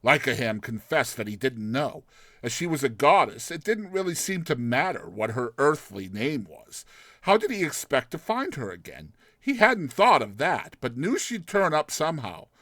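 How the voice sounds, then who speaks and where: A man's voice: mid-range voice, sing-song, moderate pace, a bit bright, dry recording. A man giving a dramatized audiobook narration of a classic short story, close on the microphone.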